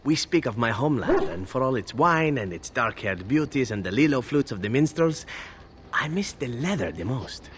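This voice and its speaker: An actor playing a charming, roguish assassin from abroad in a video game, with teasing, florid and theatrical phrasing. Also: male voice, medium pitch, lively intonation, normal speed, a little hiss or hum, close to an everyday voice. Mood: playful nostalgia. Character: roguish, charming male foreign assassin, wistful about home. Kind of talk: video game character acting.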